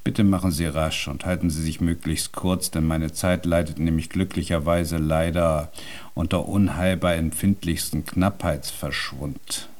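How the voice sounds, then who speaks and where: An actor playing a deaf, cantankerous old composer in a German radio drama, speaking in an ornate, pompous and convoluted way. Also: male voice, low voice, steady tone, measured pace, darkish tone. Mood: impatient, haughty.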